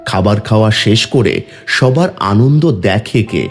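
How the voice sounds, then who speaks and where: A man's voice: on the low side, expressive, normal speed. A man narrating a scripted cartoon story as its storyteller. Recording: music behind the voice.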